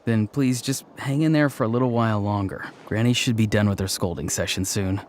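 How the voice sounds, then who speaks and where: A man's voice: on the low side, fairly quick, darkish tone, a little hiss or hum, close to an everyday voice. A man voicing an earnest, politely formal grown grandson in a video game, hesitant and guilty. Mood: sheepish, earnest.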